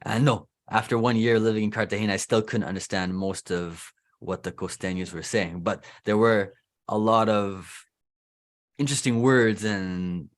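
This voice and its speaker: A young man with a Canadian accent chats his way through an interview as host. His voice sits a little low. He talks loosely, restarting sentences and leaning on "like". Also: fairly even intonation, normal speed. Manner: chatty, loose, heavy use of "like" and restarts. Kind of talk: casual interview chat.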